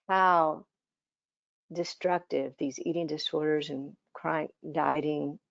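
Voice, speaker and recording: An older woman in her seventies gives a relaxed, chatty conference talk in a low, gravelly, scratchy voice. She often restarts sentences and fills pauses with little hesitations. The recording is dry and a bit dull. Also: dry recording. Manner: conversational, frequent fillers and restarts. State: hoarse, scratchy voice. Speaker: dietitian presenter. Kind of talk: conference presentation.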